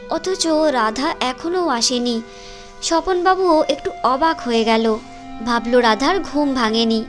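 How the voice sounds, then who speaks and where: A woman's voice: sits high, a bit bright. A woman narrator reading a scripted story in a storytelling style.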